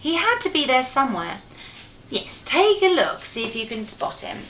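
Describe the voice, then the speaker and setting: Lady reading a bedtime story to kids, really animated and bright voice, bit echoey. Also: sits high, normal speed, a little hiss or hum. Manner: expressive, playful, addresses the listener directly. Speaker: storyteller reading a picture book to children. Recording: roomy, echoey room sound.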